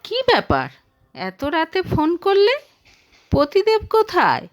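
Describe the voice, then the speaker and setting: A woman's voice: extremely high pitch, wildly swooping pitch, slow pace, pitched above the performer's own voice, exaggerated voice. A woman voicing a wistful, teasing young man, a former lover in an audio story, with a probing manner.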